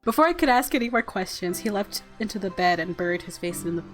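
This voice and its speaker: A woman hosting a let's play, reading story narration and reacting casually in a playful, expressive way. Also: medium pitch, fast, faint music behind. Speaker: gaming video host.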